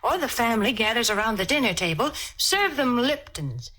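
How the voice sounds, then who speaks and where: Bright, lively radio ad woman. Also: on the high side, moderate pace. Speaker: sponsor announcer. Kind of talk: 1940s radio commercial.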